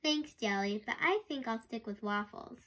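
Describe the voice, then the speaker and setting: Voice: medium pitch, moderate pace, bright, forceful tone, a little hiss or hum, natural voice. A child voicing a cheerful, silly waffle-loving narwhal in a storybook, playful and exclaiming. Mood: playful, stubborn.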